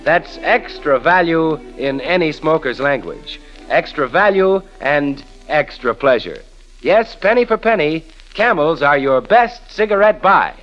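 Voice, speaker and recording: High-voiced American 1930s radio announcer, male. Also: lively intonation, unhurried, a bit bright, soft background music, low-quality recording. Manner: polished, salesmanlike, emphatic. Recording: vintage 1930s radio broadcast.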